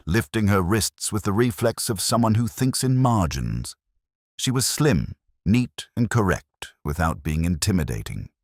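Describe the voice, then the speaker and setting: A man narrating a mystery story as a scripted reading, in a measured, dryly literary manner. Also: sits low, no echo, studio quality.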